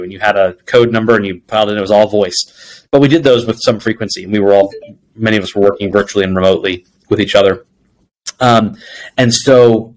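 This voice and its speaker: A man's voice: fairly low pitch, low-quality recording. A man speaking as an interview guest, answering conversationally and telling reflective stories with bits of quoted dialogue. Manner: reflective storytelling, quoting dialogue. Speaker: interview guest, people executive.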